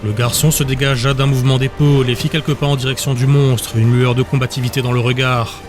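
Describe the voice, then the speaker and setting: A man's voice: medium pitch, level tone, a little fast, over music. A man narrating a dramatic fantasy audiobook from a script.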